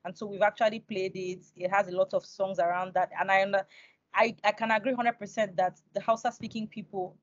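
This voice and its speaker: A woman's voice: mid-range voice, steady tone, fairly quick, no room sound. A young woman with a Nigerian accent hosting an interview conversationally over a video call. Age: young adult. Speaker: interview host. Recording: dull video call audio.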